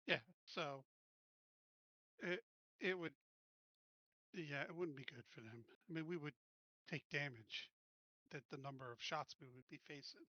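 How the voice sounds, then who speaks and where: A man's voice: fairly high pitch, unhurried, long pauses, sharp tone, no room sound, dull audio. A man playing a tabletop roleplaying game, talking casually and hesitantly through what his character does.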